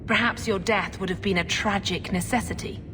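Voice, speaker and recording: A woman playing a haughty, scheming religious sister in a video game, with a clipped, condescending, measured delivery. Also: mid-range voice, steady tone, close to an everyday voice. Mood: cool, defensive. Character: haughty, scheming Chantry sister, coolly calculating. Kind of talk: video game cutscene acting. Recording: long hall reverb, clear background noise.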